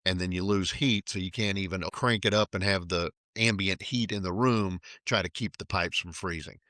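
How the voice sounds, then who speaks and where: Low-voiced man, wry and forceful. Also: fairly even intonation, moderate pace, no room sound, studio quality. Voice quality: naturally low voice. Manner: tongue-in-cheek, conversational. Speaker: host. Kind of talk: wry monologue.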